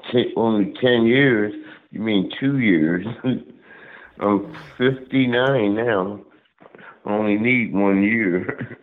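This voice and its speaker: A man's voice: lowish voice, very slow. A man in his fifties on a phone call, laid-back and chuckling, often repeating himself. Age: 50s. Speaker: caller. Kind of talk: casual phone chat. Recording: tinny phone line.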